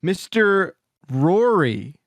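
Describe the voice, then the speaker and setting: A man's voice: high voice, sing-song, very slow, no room sound. A man hosting a casual podcast who steers the segments with wry, dry jokes. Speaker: podcast host. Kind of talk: casual chat.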